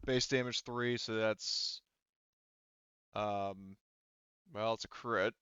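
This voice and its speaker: A man's voice: on the low side, steady tone, slow pace, fairly bright tone, clean tone, no room sound, lo-fi sound. A man working as game master, narrating a tabletop roleplaying game casually and profanely, thinking aloud as he works through the rules.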